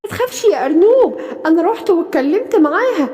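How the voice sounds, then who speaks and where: A woman voicing a naive, excitable young forest animal in a children's cartoon, childlike and pleading, with an Egyptian accent. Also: very high voice, fast talker, distant mic. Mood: eager. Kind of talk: children's cartoon storytelling in colloquial Arabic. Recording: long hall-like reverb.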